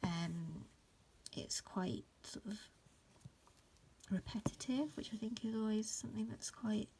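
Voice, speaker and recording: A woman in her thirties with a croaky voice from hay fever, casually talking through an embroidery tutorial at home, full of ums and restarts. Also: medium pitch, measured pace, slight background noise, low-quality recording. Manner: relaxed, many fillers and restarts. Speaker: embroidery teacher. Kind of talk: casual stitch-along tutorial. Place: upstairs room at home.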